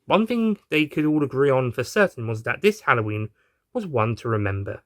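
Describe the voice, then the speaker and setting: A man reading a scripted story aloud as an expressive narrator. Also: medium pitch, fairly quick, dry recording, clean studio sound. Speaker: narrator and reader.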